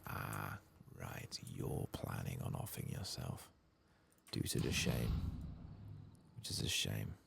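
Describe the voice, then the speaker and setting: Casting: male ASMR gamer, extremely low fry-heavy voice, hushed commentary, slight background noise. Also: takes it slowly. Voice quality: soft, whispery, gravelly. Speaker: gaming streamer and host. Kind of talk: soft-spoken ASMR game playthrough commentary.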